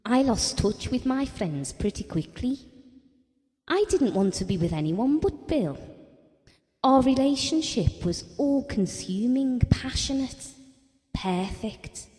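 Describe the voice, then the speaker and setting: An adoring, wistful working-class wife in an audio drama. Her voice is mellow and fairly high, and she confides in plain colloquial speech. A long reverberant hall sound surrounds her. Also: expressive, slow pace. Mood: fond, wistful.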